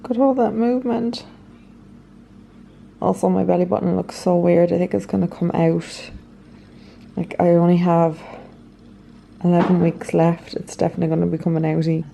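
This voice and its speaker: A woman's voice: on the low side, steady tone, moderate pace, gravelly, long pauses, warm tone, slight background noise. Congested Irish woman chatting to camera. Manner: chatty, peppered with "like". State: congested, nasal. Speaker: beauty and lifestyle vlogger. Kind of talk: casual vlog chat to camera. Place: at home, indoors.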